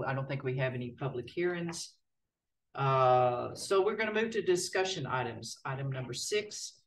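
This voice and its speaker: A board chair running a city meeting in a folksy, relaxed way, with many filler words. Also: mid-range voice. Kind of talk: formal meeting procedure mixed with casual remarks. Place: city council chamber. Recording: distant mic in a meeting room.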